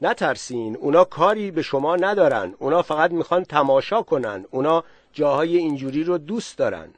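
Man voicing a cheerful sly guide, coaxing, persuasive, higher voice, dry recording. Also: animated, dry recording.

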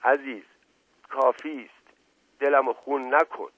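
A man's voice: medium pitch, steady tone, a bit bright, husky, pitched above the performer's own voice. A man voicing a wily aristocratic suspect in a story, mock-bashful, sighing and theatrically lovelorn. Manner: halting, sighing, melodramatic. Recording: roomy lo-fi radio-like narrow band.

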